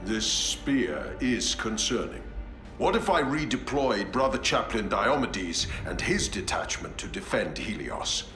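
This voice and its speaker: An actor playing a stern, distrustful space marine commander speaking in a measured, commanding way. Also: male voice, fairly low pitch, sing-song, fairly bright tone, reverberant, soft background music.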